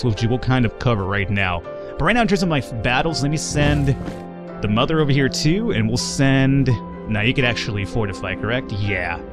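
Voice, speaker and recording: A young man hosting a let's play, walking through a strategy game in a rambling, self-correcting way, recorded at a home desk mic. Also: medium pitch. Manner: rambling, self-correcting, chuckles at his own slips. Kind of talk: gameplay walkthrough commentary. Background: game music.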